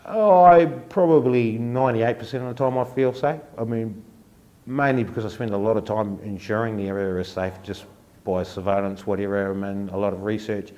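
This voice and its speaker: A man's voice: lowish voice, normal speed, warm tone, dull audio. A man, speaking matter-of-factly in a documentary interview. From New Zealand.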